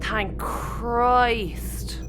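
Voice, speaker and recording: A woman's voice: on the high side, fairly even intonation, extremely slow, over music. A woman playing a caring but blunt spaceship crew member in a sci-fi audio comedy. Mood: tense.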